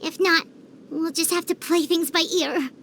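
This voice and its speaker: A woman voicing a small, childlike female fairy companion in a video game, squeaky, earnest and emphatic. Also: fairly even intonation, a little slow, noisy background. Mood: subdued, hopeful.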